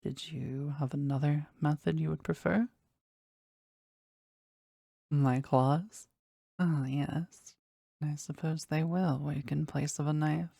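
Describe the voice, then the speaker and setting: An actor playing a powerful, devoted demon bound to a sorcerer in an intimate romantic audio roleplay, speaking formally and tenderly in a breathy voice that often drops toward a whisper. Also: medium pitch, no room sound, clean studio sound. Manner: formal, slow, tender, halting with emotion.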